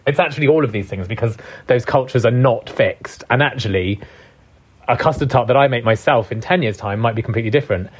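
A man in a podcast interview speaks thoughtfully, often pausing to find his words. His voice sits at an ordinary middle pitch for a man. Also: slightly rough. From Britain. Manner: thoughtful, searching for words, many fillers. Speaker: cookbook author guest. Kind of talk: reflective conversational interview.

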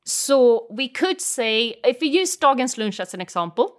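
A woman's voice: a little high, very animated, moderate pace. Patient female teacher. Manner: patient, explains step by step, models phrases. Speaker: Swedish language teacher.

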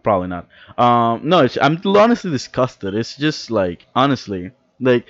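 Excitable, rambling young podcast man. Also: mid-range voice, moderate pace, no room sound, highs cut off. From Dominican Republic. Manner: rambling, excitable, swears freely. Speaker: podcast co-host. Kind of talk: casual podcast chat.

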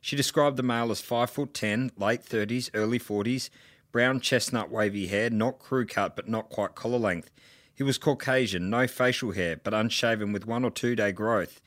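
A man's voice: on the low side, normal speed, a bit bright, dry recording. Dry-sounding man reading a formal police statement.

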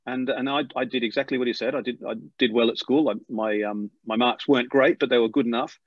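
A man's voice: mid-range voice, no echo. A middle-aged man with an Australian accent worn down by years in England, telling an interviewer about his path to becoming an airline pilot with plenty of restarts. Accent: Australian, softened by years in England. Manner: animated storyteller, quick with many restarts. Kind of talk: storytelling interview. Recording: slightly dull lo-fi sound.

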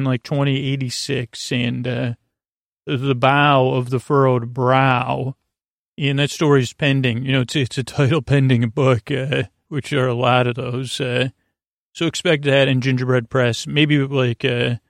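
Creaky-voiced man rambling you to sleep. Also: mid-range voice, no echo, studio quality. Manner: meandering, halting, goofy tangents and self-interruptions. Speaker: podcast host. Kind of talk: rambling bedtime monologue for sleep.

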